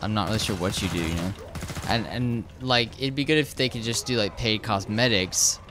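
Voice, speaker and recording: A young man, slightly hoarse, casually commenting over his gameplay, rambling with lots of fillers and restarts. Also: fairly low pitch, moderate pace, noisy background. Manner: rambling, hesitant, frequent filler words and restarts. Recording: game gunfire loud behind voice. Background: shooter game sounds and gunfire.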